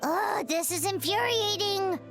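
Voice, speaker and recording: A woman voicing a small, cheeky, excitable fairy companion in a video game, with a squeaky, exclamatory delivery. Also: a little slow, full character voice. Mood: exasperated. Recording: music playing under the voice.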